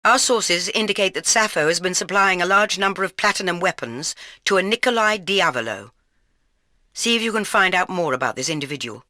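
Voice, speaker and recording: A woman's voice: on the low side, expressive, brisk, sharp tone. A woman playing a stern British spy chief, giving clipped, formal orders as video game mission briefings. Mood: stern, composed.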